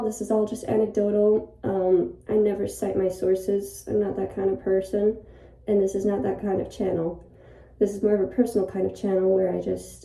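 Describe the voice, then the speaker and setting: A young woman from Ireland whose first language is Polish, talking in a reflective, rambling way in a personal vlog. She is filming in a bathroom. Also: mid-range voice. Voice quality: creaky. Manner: reflective, rambling, soft-spoken. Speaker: vlogger. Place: bathroom, sitting by the bathtub. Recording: echoey bathroom, distant from the mic.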